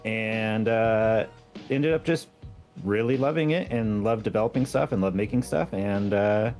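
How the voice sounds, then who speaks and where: A man with a somewhat low voice chats as a guest on a stream. He is informal and self-deprecating, with a wry sense of humour. Soft music runs underneath. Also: unhurried. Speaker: guest, amateur game modder. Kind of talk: casual interview chat.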